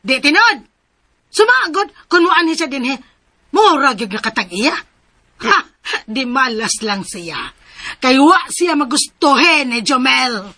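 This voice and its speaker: A woman's voice: high-pitched, sing-song, takes it slowly, pushed and bright, full character voice. An actress playing a chatty, opinionated gossiping woman in a Philippine radio drama, with a Cebuano Filipino accent. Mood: gossipy, insistent.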